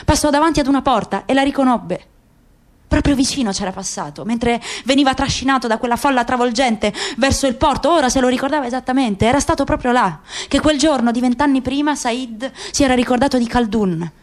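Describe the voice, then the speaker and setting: A woman's voice: sits high, brisk. A woman giving a dramatic literary reading as a narrator in radio theatre. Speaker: narrator, actress.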